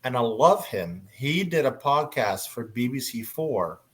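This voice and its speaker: A man's voice: medium pitch, very animated, a little slow. Conversational man moderating a fan panel. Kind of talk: casual panel chat.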